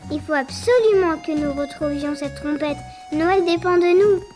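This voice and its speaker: Casting: female actor as brave little cartoon boy, very high voice, light music behind. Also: moderate pace, lightly acted. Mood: eager. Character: curious young boy, eager and brave. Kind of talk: cartoon voice acting.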